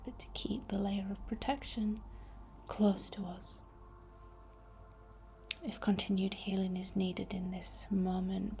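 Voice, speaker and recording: Woman whispering asmr healing meditation, soft n breathy, dark mellow tone, slow soothing, bit of faint bg noise. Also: medium pitch. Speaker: ASMR host leading a healing session.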